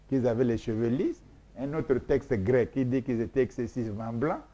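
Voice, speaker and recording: Emphatic, lecturing Congolese man, mellow voice. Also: medium pitch, dull audio. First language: Mboshi. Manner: emphatic, repetitive, lecturing, many hesitations. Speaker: scholar being interviewed. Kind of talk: explanatory interview talk.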